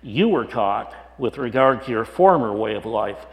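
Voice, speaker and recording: Male pastor, middle-aged, raspy and hoarse, chatty halting sermon delivery, roomy echo. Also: mid-range voice, lively intonation, muffled recording. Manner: conversational with frequent "uh" restarts. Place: church sanctuary. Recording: echoey hall with long reverb.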